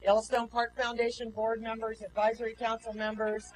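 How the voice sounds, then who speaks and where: A woman's voice: on the high side, completely flat delivery, a little fast. A woman giving a formal welcome speech and introducing guests as host of a ceremony. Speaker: park superintendent, ceremony host. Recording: noisy live outdoor event feed.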